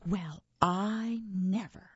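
A woman voicing a haughty, snarling female clawed monster with a raspy voice in a children's story. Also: high-pitched, huge pitch swings, unhurried, a little mellow, dry recording, lo-fi sound, voice pushed up, exaggerated voice. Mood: indignant.

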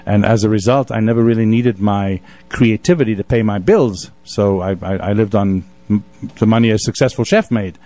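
A man's voice: on the low side, normal speed, few pauses, mellow, no room sound. A man hosting a casual radio interview, chatting in a wordy, effusive storyteller's way. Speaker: radio show host. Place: radio studio.

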